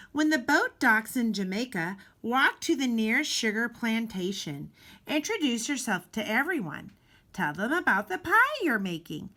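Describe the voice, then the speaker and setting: A woman reads a children's picture book aloud in a high, bright voice. Her delivery is slow and very animated, with dramatic emphasis and deliberate pauses. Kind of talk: expressive children's storybook read-aloud.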